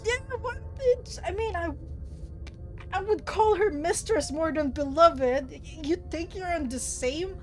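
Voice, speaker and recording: A woman's voice: very high voice, animated, a little slow, a little mellow, faint music behind. A woman streamer chatting casually while she plays a game, giggling often and teasing playfully. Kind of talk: casual gaming stream chat.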